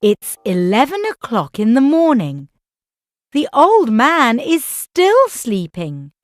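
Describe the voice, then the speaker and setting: A woman telling a picture-book story to young children in an expressive, exclamatory way, taking her time. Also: fairly high pitch, slow pace, a little hiss or hum.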